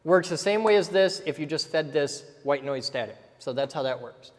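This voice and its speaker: A man's voice: fairly high pitch, lively intonation, normal speed, in a large hall. A man teaching step by step in a casual way, often checking in with "okay?" as he goes. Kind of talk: casual technical explanation while drawing.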